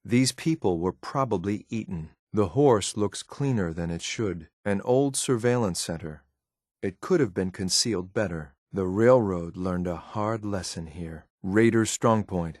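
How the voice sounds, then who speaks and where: A cold, dutiful male synth agent from a video game in a low-pitched voice. The delivery is clipped, formal and deadpan, measured throughout. The recording is very dry and close. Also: no echo. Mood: detached, observant. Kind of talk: scripted video game companion lines.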